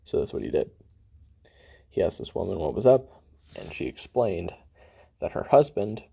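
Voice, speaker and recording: Man acting as hot-tempered male assassin, husky, swinging intonation, casual cursing, pause-laden memoir monologue, audio roleplay. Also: lively intonation, mellow, exaggerated voice. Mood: hesitant recounting. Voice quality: very deep, gravelly, husky. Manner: halting, casual, profane, with sighs and trailing pauses.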